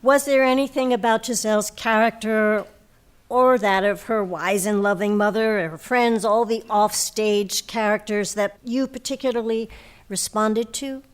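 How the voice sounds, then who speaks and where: A woman's voice: medium pitch, steady tone, unhurried, clean tone, no echo. A woman hosting a radio program, speaking as a presenter. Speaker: radio show host. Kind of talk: interview questions and scripted credits narration.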